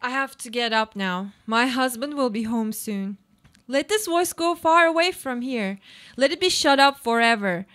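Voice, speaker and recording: A woman's voice: a little high, moderate pace, fairly bright tone, lightly acted. A woman playing an anguished, obsessive housebound woman in a live radio play, with a theatrical, brooding delivery. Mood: anxious, wary.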